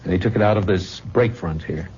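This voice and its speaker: An insistent young fiancé, husky-voiced. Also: male voice, sits low, brisk, darkish tone. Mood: angry demanding. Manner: urgent, insistent. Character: protective, insistent young fiancé fighting for his sweetheart. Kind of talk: old-time radio drama acting. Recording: muffled old radio recording.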